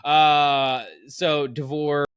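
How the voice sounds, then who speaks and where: A man's voice: fairly high pitch, level tone, drawn-out words, fairly bright tone, clean tone, no room sound, highs cut off. A man hosting a podcast in a casual, enthusiastic and conversational way. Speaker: podcast host.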